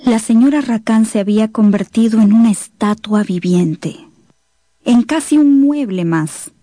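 A woman narrating a radio novel as scripted, suspenseful storytelling in a measured manner. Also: on the high side, mellow, no room sound, studio quality.

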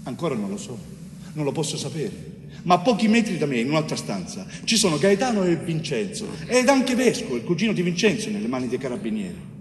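An actor playing a weary, pleading middle-aged man, wrongly accused, in a halting, emphatic theatrical monologue. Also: fairly high pitch, big pitch swings. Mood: confused, anguished. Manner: emphatic, halting, addresses a judge directly. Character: wrongly accused Sicilian bricklayer, fifty-one, weary, anguished, pleading. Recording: roomy, distant stage recording with hiss.

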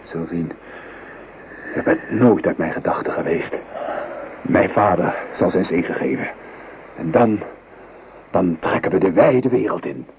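Slow, low-voiced soldier in love. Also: male voice, animated, gravelly, darkish tone, a little hiss or hum, full character voice. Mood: ardent, earnest. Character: ardent soldier sergeant in love, earnest and reassuring. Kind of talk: Dutch radio play acting.

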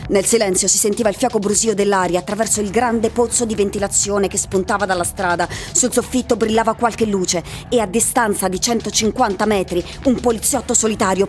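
An actress narrating a bleak futuristic story for a radio drama, in a measured literary style, with music behind her. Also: mid-range voice, fairly even intonation, natural voice. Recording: music bed under the voice.

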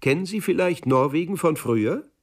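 A man's voice: fairly high pitch, animated, moderate pace, distant mic, voice pushed up. A man voicing a boastful, nostalgic older former officer in a radio play, effusive and self-satisfied. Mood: wistful, effusive.

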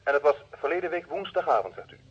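An actor playing a courteous, businesslike studio attendant on the telephone in a vintage radio play, with a Netherlands Dutch accent. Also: male voice, a little high, very animated, husky, exaggerated voice. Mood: brisk, polite. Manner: polite, brisk, businesslike. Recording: muffled telephone-like old radio recording.

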